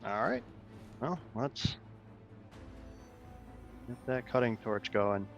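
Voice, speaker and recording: A man's voice: mid-range voice, expressive, takes it slowly, vocal fry, muffled recording, faint music behind. A man playing a tabletop game, talking casually and thinking aloud as he decides his moves. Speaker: player.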